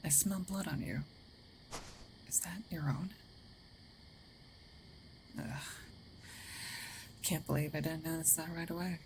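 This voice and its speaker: A woman playing a gruff but caring, territorial werewolf in an intimate ASMR roleplay, hesitant and often dropping into breathy half-whispers. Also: sits low, fairly even intonation, slow, pauses often, noisy background. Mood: concerned. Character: gruff female werewolf, territorial yet caring, awkward protector.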